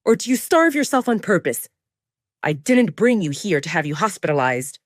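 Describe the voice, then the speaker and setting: A woman puts on a grumpy alpha male werewolf for an audio drama. He is impatient and demanding, scolding in an animated delivery with a dry, close sound. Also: lowish voice, normal speed, no echo.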